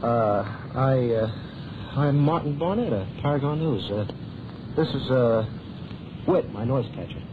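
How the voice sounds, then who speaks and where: A man's voice: medium pitch, lively intonation, measured pace, natural voice. An actor playing a nervous, wisecracking American newsreel cameraman, hesitant and slangy, in vintage radio drama style. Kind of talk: 1930s-40s radio acting. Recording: muffled, noisy old radio recording.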